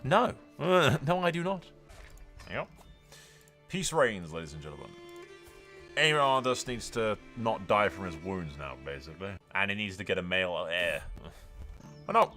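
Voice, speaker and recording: Man, lowish voice, gaming channel host, casual rambling delivery, jokey swearing, music behind. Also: a bit bright. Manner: sweary, jokey, conversational asides to the audience. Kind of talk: casual, rambling game commentary.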